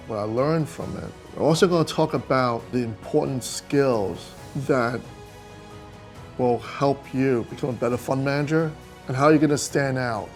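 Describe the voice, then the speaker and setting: A man, a fund manager talking candidly about his career in a plainspoken, emphatic way. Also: mid-range voice. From New York City. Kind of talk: conversational interview monologue.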